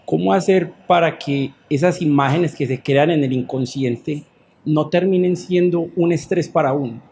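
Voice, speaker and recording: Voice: medium pitch, normal speed, a little mellow, a little hiss or hum. Una persona del público que hace una pregunta dudosa en un taller. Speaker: workshop participant. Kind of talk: asking a question.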